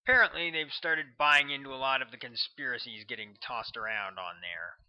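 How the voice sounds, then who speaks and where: A man's voice: medium pitch, normal speed, lightly acted. Man reading as an offhand government agent, lo-fi. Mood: explaining, brisk. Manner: offhand, explanatory. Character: brisk, offhand male government agent in bomber jacket.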